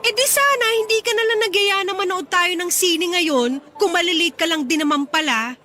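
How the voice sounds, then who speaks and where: A woman's voice: very high-pitched, normal speed, over music. A woman playing an irritable, fed-up young girlfriend in a radio drama, sulky, scolding and sarcastic. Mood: irritated, scolding.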